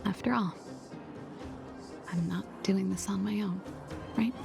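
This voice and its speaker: Low raspy game girl, quiet and breathy, music under her. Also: measured pace. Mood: reflective. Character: guarded young woman who runs from her problems. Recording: game audio with music behind.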